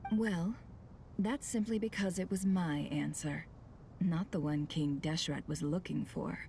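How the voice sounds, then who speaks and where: A low-pitched composed young woman from a video game. She speaks in a measured, self-assured way, as someone shrewd and sure of herself. There is a faint noise in the background. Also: gravelly, dark tone. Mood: confident. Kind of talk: scripted video game voice acting.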